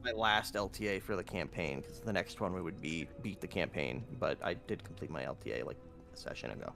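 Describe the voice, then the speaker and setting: A man with a slightly low voice takes part in a tabletop roleplaying session. He talks casually as a player, with faint music playing behind him. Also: brisk, gravelly. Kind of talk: casual game chat.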